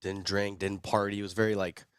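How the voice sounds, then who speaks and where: A man's voice: on the low side, flat delivery, brisk, clean tone, no room sound, clean studio sound. A young American man with a cold, telling his story in a casual, slangy way as a podcast guest. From Arizona, United States. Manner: laid-back slangy, chuckles at own story. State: sick with a cold. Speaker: podcast guest, recovery video producer.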